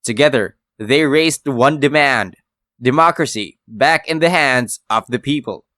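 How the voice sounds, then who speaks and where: A man's voice: mid-range voice, unhurried, bright, forceful tone, no echo. A man reading scripted news documentary narration.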